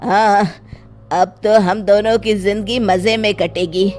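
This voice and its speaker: A woman's voice: medium pitch, fairly even intonation, takes it slowly, a little mellow, faint music behind, natural voice. A woman voicing a smug, conniving fake occultist man in a moral story.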